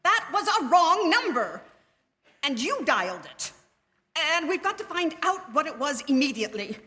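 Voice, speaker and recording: A woman's voice: high-pitched, very animated, normal speed, bright, forceful tone, exaggerated voice. An actress playing an anxious, demanding married woman on the telephone in a stage radio drama, agitated, haughty and insistent. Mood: exasperated. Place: theatre stage before an audience. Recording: live theatre stage with long reverb.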